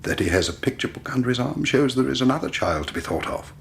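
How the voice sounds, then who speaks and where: An actor playing a genial, indolent and superior British gentleman sleuth in a period radio drama, urbane and self-assured. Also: medium pitch, very animated, quick pace, gravelly, slight background noise. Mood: leisurely, assured.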